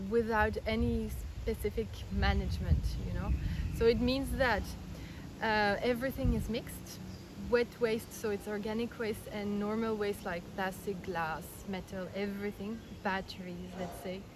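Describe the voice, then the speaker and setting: A woman in her thirties from Belgium, raised in France, calmly explaining her views in an interview, earnest and step by step with frequent restarts. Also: mid-range voice. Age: 30s. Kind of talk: casual explanatory interview answers. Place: outdoors in India. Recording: outdoor recording with clear background noise.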